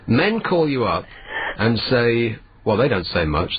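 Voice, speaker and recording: A man hosting a late-night radio phone-in, hoarse and cheeky, teasing his callers with dry humor. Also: medium pitch, very animated, unhurried, dull audio. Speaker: radio host.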